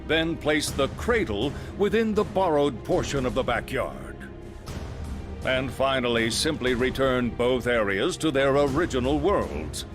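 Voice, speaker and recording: A man's voice: medium pitch, lively intonation, natural voice. Man acting as erudite male scientist, video game drama, composed, formal, professorial, mid-range voice, music behind. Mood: measured, instructive. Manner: measured, professorial, formal, unhurried explaining. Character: calm, erudite male scientist lecturing on grave theories. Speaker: character in a video game story scene.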